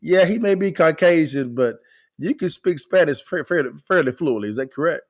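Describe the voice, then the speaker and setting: A man's voice: a little high, animated, normal speed. Casual podcast host, friendly man. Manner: casual and encouraging, says "man" a lot. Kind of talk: casual interview chat.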